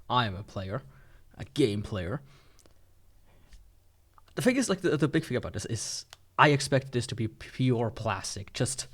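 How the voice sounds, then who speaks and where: A man with a mid-range voice talks through a product unboxing in an informal way. His delivery is sarcastic, with playful overstatement as he comments like a gadget reviewer. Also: mid-range voice.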